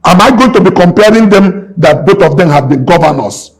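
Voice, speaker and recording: A man's voice: high-pitched, lively intonation, a little fast, husky, low-quality recording. A man in his sixties, a businessman guest in an interview, talking emphatically and repeating his points like a preacher. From Nigeria. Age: 60s. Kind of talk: impassioned interview talk.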